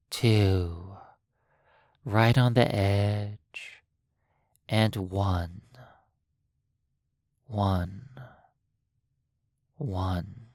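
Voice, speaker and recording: A hypnotist narrating a guided sleep session in a soothing manner, leaving long pauses between short phrases. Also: sits low, darkish tone, no echo, studio quality.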